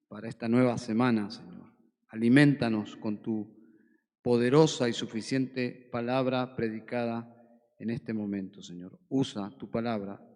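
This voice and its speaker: A man's voice: medium pitch, a little mellow, big-room sound. A man, a pastor, leading the congregation in fervent prayer in a church. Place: church hall.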